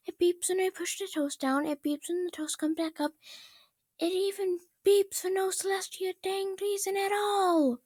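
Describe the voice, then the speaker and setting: A young pony princess in a towering rage, played by a woman reading a story aloud. The voice climbs very high as she rants with melodramatic exasperation. It sits dry, with little room around it. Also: a little mellow, no echo. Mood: exasperated fury. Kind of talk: amateur fan fiction character reading.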